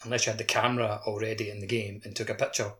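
A man's voice: lowish voice, level tone, rapid-fire, a crowd in the background. A man on a gaming podcast panel, talking casually. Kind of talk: casual chat.

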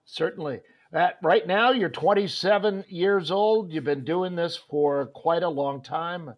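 A man's voice: a little high, measured pace. A man hosting a sports interview, talking in a rambling way with many fillers and restarts. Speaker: host.

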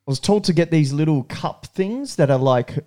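A man's voice: a little high, animated, normal speed, warm tone. A man from Brazil hosting a podcast, talking casually in slang, swearing freely and saying "bro" and "eh". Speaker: podcast host.

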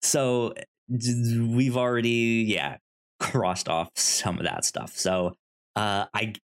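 A man with a medium-pitched voice speaks slowly as a podcast co-host. He chats casually, hesitating and trailing off while he thinks aloud. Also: steady tone. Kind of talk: casual movie podcast chat.

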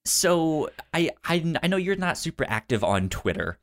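A man's voice: on the high side, very animated, moderate pace, fairly bright tone. A man co-hosting a film podcast, talking casually and enthusiastically, with a stutter that repeats sounds and syllables. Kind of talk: casual movie discussion.

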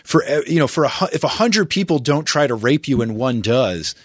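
A man's voice: mid-range voice, lively intonation, a little fast, no echo, studio quality. A man talking as a podcast guest in casual chat, swearing often and emphatic, checking agreement with "right?".

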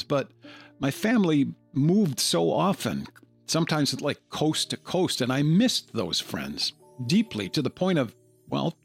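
A man's voice: mid-range voice, creaky. A man moderating a reflective discussion in a thoughtful, musing manner. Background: music.

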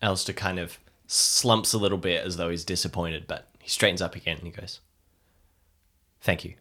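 A man's voice: sits low, fairly even intonation, moderate pace, sharp tone. A man playing in an improvised audio drama, moving between hesitant, earnest dialogue and narrating his character's actions.

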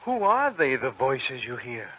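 An actor playing a tender, worried uncle in an old radio drama, speaking with gentle concern. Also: male voice, mid-range voice, very animated, unhurried, husky, heavily acted. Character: Uncle Durand, a gentle, worried older peasant man. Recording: dull old radio recording with music.

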